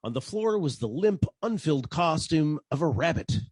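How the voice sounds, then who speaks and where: Male actor as hard-boiled detective, wry, sardonic, husky, lively intonation, dry sound, 1940s radio style. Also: a little high, normal speed, no echo. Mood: wry narration.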